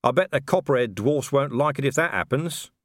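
A man's voice: medium pitch, expressive, fairly quick, dry recording, clean studio sound, pitched above the performer's own voice, lightly acted. A man voicing a blunt, sceptical male commander in an audiobook, sardonic and exasperated in manner. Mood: puzzled, exasperated. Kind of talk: audiobook character dialogue.

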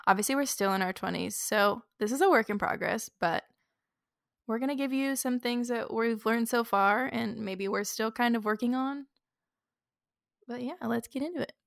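Young woman podcast host, chatty, a bit of vocal fry, dry close mic. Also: fairly high pitch, moderate pace, no echo. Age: 20s. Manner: chatty, upbeat, many "like" fillers. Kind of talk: casual chat.

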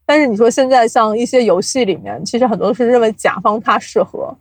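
A woman's voice: on the high side, a little fast, dry recording. A woman explaining at length, with examples, in a casual podcast interview as an invited guest. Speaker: guest, audio drama producer.

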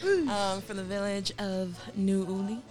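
A woman in her twenties from American Samoa, a soft-spoken podcast guest introducing herself. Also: mid-range voice, slow pace, faint music behind. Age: 20s. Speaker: guest, Bible college student. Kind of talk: casual interview.

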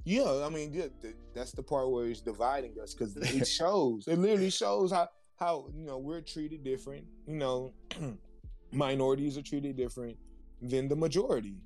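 A man in casual podcast chat, animated and slangy, who keeps checking in with his listeners and laughs between points. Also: medium pitch, moderate pace, faint music behind.

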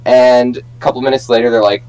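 A young man with a medium-pitched voice. He talks casually as a podcast co-host, swapping stories with friends in an informal, conversational style. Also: level tone, quick pace, darkish tone, faint music behind, rough recording. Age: young adult. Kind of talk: casual chat and storytelling among friends.

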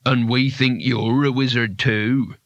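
A man's voice: medium pitch, steady tone, slow pace, no room sound, highs cut off. A man voicing a solemn, menacing zealot with an accusing manner in an audiobook. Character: solemn, menacing, grey-faced male zealot. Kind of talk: audiobook character voice.

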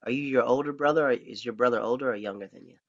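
A man's voice: medium pitch, racing. A man interviewing someone in casual conversation, rambling and restarting his questions. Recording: dull lo-fi recording.

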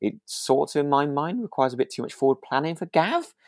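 A man's voice: fairly high pitch, big pitch swings, fairly quick, a little mellow, dry recording, studio quality. A man co-hosting a podcast, chatting casually about a radio drama and circling back over his words as he thinks. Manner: hesitant, repeats himself as he thinks. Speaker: podcast co-host.

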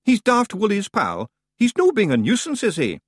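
A tiny storybook warrior leader with a broad Scottish accent. He is bold, colloquial and cheery, pitched high above a normal male register. The delivery swings about in lively leaps, recorded dry and close. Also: normal speed, breathy edge, no echo, exaggerated voice. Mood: brisk. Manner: brash, colloquial, encouraging.

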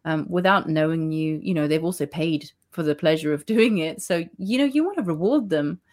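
A woman with a notably low voice talks through her ideas as an author in an interview. She speaks in a thoughtful, measured way, circling back and rephrasing as she goes, with an occasional soft laugh. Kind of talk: reflective interview conversation. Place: Spain.

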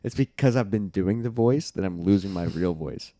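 A man's voice: deep voice, expressive, normal speed, mellow, no echo. A young man co-hosting a podcast in casual chat, voice scratchy and close to giving out, rambling and swearing a lot. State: scratchy, near losing his voice. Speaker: podcast co-host.

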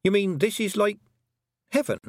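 A man's voice: sits high, lively intonation, normal speed, no echo, clean studio sound, pitched above the performer's own voice. A man voicing a brave, earnest young girl with a questioning manner in an audiobook. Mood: puzzled.

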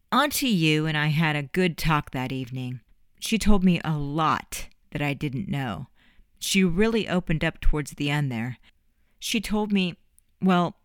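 An earnest young man with a flair for drama, acted by a woman narrator speaks in an audiobook. He pleads gently and with care. The recording is dry and close. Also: sits low, smooth, no echo. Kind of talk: audiobook character voice.

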